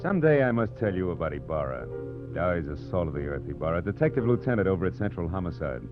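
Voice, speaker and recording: Low male voice, wisecracking private detective, sardonic, 1940s radio noir, dull old recording. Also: big pitch swings, fast, music in the background. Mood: narrating. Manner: dry, sardonic. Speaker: lead actor and narrator of a detective series.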